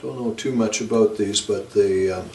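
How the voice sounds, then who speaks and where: A man narrating a hands-on repair tutorial in a halting, unscripted way, as if working at a bench indoors. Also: fairly low pitch, steady tone, mellow. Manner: hesitant, unscripted, halting delivery. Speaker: electronics repair video host. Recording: roomy, distant mic, noisy background.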